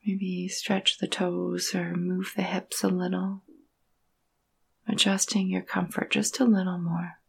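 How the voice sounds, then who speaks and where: A woman guides a meditation in a soft, breathy voice with a gravelly creak at its edges. Her intonation stays quite even and gentle. She speaks in hushed, soothing phrases separated by long pauses. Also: fairly low pitch. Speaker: yoga nidra meditation guide.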